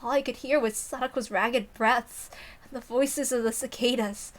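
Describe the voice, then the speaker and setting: A woman's voice: a little high, steady tone, moderate pace. A woman reading a visual novel aloud as dramatic narration.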